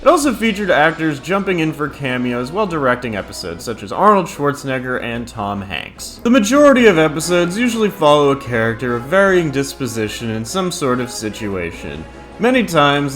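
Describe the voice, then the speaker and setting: A man's voice: medium pitch, fairly bright tone. A man in his thirties narrating a scripted countdown review in a crude, profane, opinionated way. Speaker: video host and reviewer.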